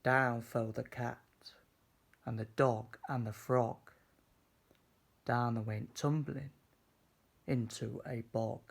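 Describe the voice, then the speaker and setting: Very slow, creaky man reading a storybook. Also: medium pitch. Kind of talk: children's storybook read-aloud.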